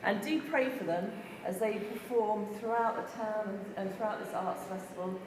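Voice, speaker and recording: A woman's voice: medium pitch, normal speed. A woman hosting a church service, giving thanks and announcements to a congregation in a large hall. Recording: very echoey hall, clear background noise.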